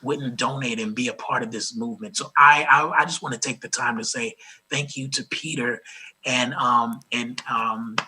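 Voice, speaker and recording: A raspy-voiced man from Los Angeles, speaking as an interview guest in an earnest, grateful way, often restarting his sentences. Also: mid-range voice, fairly even intonation, normal speed, sharp tone, rough recording. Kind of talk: casual interview talk.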